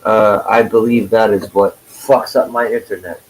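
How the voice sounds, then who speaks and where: A man's voice: lowish voice, steady tone, moderate pace, soft background music, poor audio. A man in his forties hosting a livestream from home. He chats loosely, swears freely and greets his viewers by name. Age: 40s. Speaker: livestream host. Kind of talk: casual chat.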